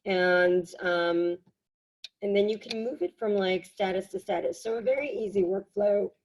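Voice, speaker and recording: A woman giving a casual software tutorial, explaining in a halting, conversational way, slightly hoarse from a cold. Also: mid-range voice, a little hiss or hum. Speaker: workshop presenter. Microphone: video call.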